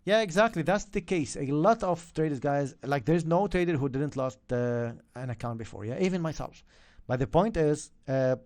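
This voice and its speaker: Man, native Arabic speaker, somewhat high voice, energetic, keeps asking "okay?", live-stream lesson. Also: normal speed. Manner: energetic, repeats "okay?" and "yeah?" to viewers. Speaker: trading coach.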